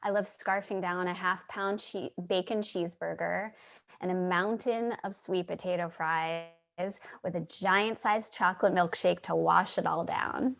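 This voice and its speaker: A woman's voice: fairly low pitch, fairly even intonation, a little slow, creaky, fairly bright tone. A woman playing a wistful, dreamy homeless woman in a staged play reading, earnest and lingering over her phrases. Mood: dreamy enthusiasm. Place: remote reading over video call.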